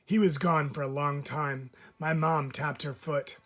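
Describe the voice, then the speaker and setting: A man, a teacher, reading a children's picture book aloud with lively, expressive storytelling. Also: fairly high pitch, measured pace, soft background music.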